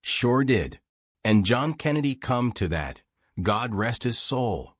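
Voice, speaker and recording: A man's voice: medium pitch, very animated, slow pace, no room sound, studio quality. A man voicing a frank, wry old American war reporter reminiscing in folksy, self-deprecating audiobook dialogue. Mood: modest.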